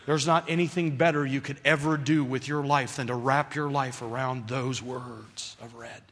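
A man's voice: medium pitch, moderate pace, in a large hall. A man preaching a sermon, telling a story and calling on the congregation to answer him. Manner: animated, calls for congregation response.

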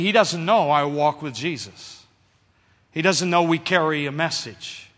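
A man's voice: on the high side, big-room sound. A man preaching a sermon, telling a story and calling on the congregation to answer him. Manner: animated, calls for congregation response.

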